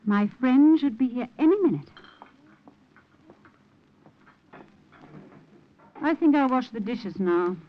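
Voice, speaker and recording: Old radio drama: wary, nervous young Austrian woman, actress, slightly high voice, dulled broadcast sound. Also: animated, normal speed, lo-fi sound, natural voice. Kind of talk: 1950s radio drama acting.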